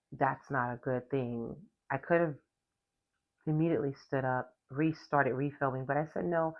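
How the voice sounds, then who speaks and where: A singer hosts a casual lesson on looking after the voice, stressing key words and spelling out technical terms. The voice sits in a middle register. The recording is slightly dull and lo-fi. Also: mid-range voice. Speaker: podcast host and singer. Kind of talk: casual instructional talk on vocal care.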